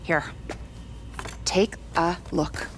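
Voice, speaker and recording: A worried, dedicated doctor in a game scene. Her voice is slightly low, with a weary, wry earnestness. Faint noise sits behind it. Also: steady tone, extremely slow. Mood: wry, resigned. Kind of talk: dramatic video game cutscene acting.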